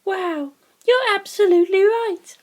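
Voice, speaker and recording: A woman acts out a young female spirit for a storybook, pitched very high. The delivery is light and a little hesitant, like a forgetful girl answering questions. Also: a little slow, darkish tone. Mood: impressed. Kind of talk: audiobook character dialogue.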